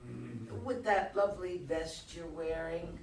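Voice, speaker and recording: A woman's voice: on the low side, lively intonation, takes it slowly. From across an echoey room comes a woman playing a poor woman tenant in a play reading. She takes her time, wry and insistent, needling and coaxing, with faint noise around her. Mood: wheedling, flattering. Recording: distant roomy live recording, background noise.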